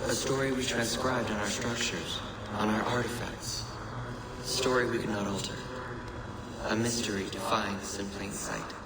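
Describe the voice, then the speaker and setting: An ancient, solemn male messenger for a video game. He orates in grave, measured, cryptic phrases. The voice rings with echo, and a heavy ambient rumble sits loudly behind it. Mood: mournful. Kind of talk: dramatic scripted game monologue. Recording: echoey voice over loud ambient rumble.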